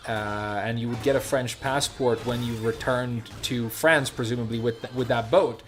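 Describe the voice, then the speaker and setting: A man's voice: mid-range voice, fairly even intonation, normal speed, faint music behind. A young man from Sweden telling a rambling story on his stream, full of fillers and restarts.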